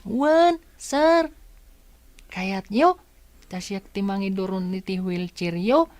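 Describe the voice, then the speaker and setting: A woman's voice: mid-range voice, takes it slowly, darkish tone, no room sound, lightly acted. A woman voicing a curious, polite, chatty little boy in an audio drama, with a Filipino accent. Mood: polite, eager. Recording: music under the voice.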